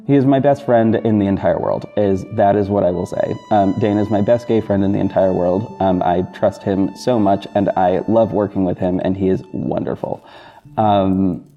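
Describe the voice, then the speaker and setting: Dull lo-fi recording, male podcaster, soft dark tone, rambling, effusive, unscripted, faint backing music. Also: low voice, normal speed. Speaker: podcast host and audio drama creator. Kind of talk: casual unscripted podcast update. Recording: dull muffled sound with music bed.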